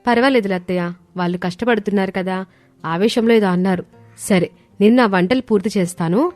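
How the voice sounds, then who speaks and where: A woman's voice: mid-range voice, a little fast, no room sound, natural voice. Woman playing humble, selfless daughter-in-law. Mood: caring. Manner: polite, soft-hearted, pleading. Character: humble, selfless eldest daughter-in-law, gentle, respectful, traditional. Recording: studio voice over background music.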